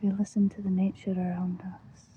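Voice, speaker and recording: A Scottish woman speaks softly and breathily, often in a whisper, gently and soothingly guiding an ASMR visualisation with long pauses. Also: medium pitch, little pitch movement. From Scotland. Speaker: ASMR host. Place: outdoors, lying on grass. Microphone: close-up. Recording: muffled lo-fi outdoor recording, faint noise.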